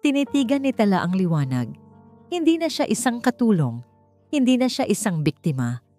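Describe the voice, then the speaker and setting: A woman's voice: on the high side, very animated, normal speed, warm tone. A woman with a Filipino accent reading a scripted, dramatic romance story as narrator. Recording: music bed under the voice. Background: background music.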